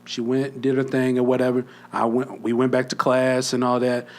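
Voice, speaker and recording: A man's voice: medium pitch, completely flat delivery, normal speed. A young man co-hosting a podcast, telling a story in a casual chat, often dropping to a near whisper and laughing. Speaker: podcast co-host.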